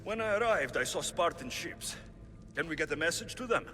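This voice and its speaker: An actor playing Alexios, a dry, steady mercenary in a game cutscene. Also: male voice, on the high side, brisk, in a large hall, slight background noise. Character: Alexios, male Spartan-born mercenary, dry wit. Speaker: game protagonist. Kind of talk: video game cutscene acting.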